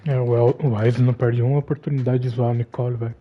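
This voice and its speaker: A woman's voice: a bass voice, flat delivery, rapid-fire, muffled recording, faint music behind. A woman with a Brazilian Portuguese accent, casually commenting as she streams a game.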